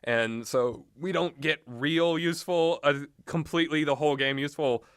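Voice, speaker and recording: A man giving casual, unscripted and opinionated commentary, halting often with fillers and trailing thoughts. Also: a little high, fairly bright tone, clean tone, dry recording, clean studio sound. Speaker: host of a video game talk.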